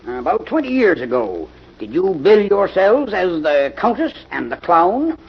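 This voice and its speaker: An actor playing a stern older professor with a husky, gravelly voice, blunt and insistent, in a 1940s radio mystery. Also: male voice, sits high, sing-song, unhurried, exaggerated voice. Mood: probing. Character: stern older professor, suspicious academic investigator. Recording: dull vintage radio recording.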